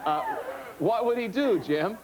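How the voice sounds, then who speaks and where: A man's voice: high-pitched, poor audio. A man hosting a TV game show, joking and teasing his celebrity panel in front of a studio audience. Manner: jokey, teasing, quick asides. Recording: studio audience and noise behind.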